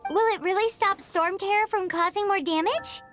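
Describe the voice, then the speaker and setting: Game sidekick, tiny fairy, extremely high childlike voice, inquisitive, lively, music behind. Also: female voice, normal speed. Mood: curious. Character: tiny childlike floating fairy companion, curious and squeaky. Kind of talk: video game voice acting.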